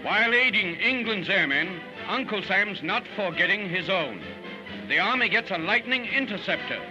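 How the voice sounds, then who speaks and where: Male American newsreel narrator, 1940s style, high voice, punchy drama, loud score behind. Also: expressive, normal speed, poor audio. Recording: band-limited 1940s newsreel soundtrack, loud music. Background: loud newsreel music.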